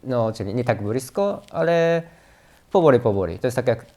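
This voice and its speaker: A man's voice: mid-range voice, expressive, fairly quick, a little mellow. A Japanese man, a non-native speaker, answering hesitantly and thoughtfully. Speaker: interviewee. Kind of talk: casual interview answers.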